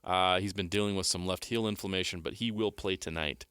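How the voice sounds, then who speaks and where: A low-pitched man with a bright, forceful edge hosts a sports radio show from the studio. He talks briskly, steering the segments and rattling off news and injury reports. The sound is dry and close on a studio microphone. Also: fairly even intonation, clear, resonant tone, no room sound.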